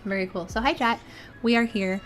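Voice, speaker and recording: A woman's voice: fairly high pitch, fairly quick, soft background music. A young woman from the United States hosting a casual streamed interview, chatty and giggly with lots of fillers. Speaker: podcast host.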